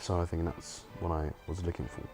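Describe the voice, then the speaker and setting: A man's voice: deep voice, little pitch movement, fairly quick, over loud music. A young man from China, a filmmaker and home cook, speaking in a documentary interview, reflective and hesitant with many restarts and fillers.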